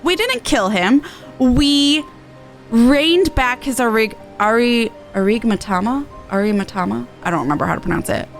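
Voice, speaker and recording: A woman's voice: on the high side, animated, normal speed, soft background music. A woman chatting casually as she streams a game. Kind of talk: casual stream chat and read-aloud of game dialogue.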